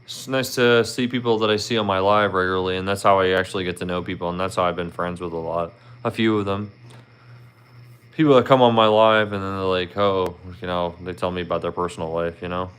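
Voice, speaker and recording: Chatty man from Philly, smooth low voice. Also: fairly even intonation, brisk, a bit bright. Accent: Philadelphia. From Philadelphia. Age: 30s. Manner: rambling, friendly, peppered with "you know". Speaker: livestream host. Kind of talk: casual livestream chat with viewers.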